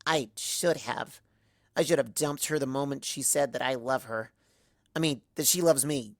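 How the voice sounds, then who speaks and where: A woman voicing a tipsy, bitter young female cartoon unicorn with a sarcastic, halting drawl. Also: very deep voice, no echo, studio quality, pitched below the performer's own voice, full character voice.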